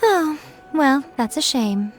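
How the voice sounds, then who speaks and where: A woman's voice: sits high, expressive, slow. A woman playing a sly, elegant shrine priestess in a video game, coy, teasing and sure of herself. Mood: playful. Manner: coy, teasing, self-assured. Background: music.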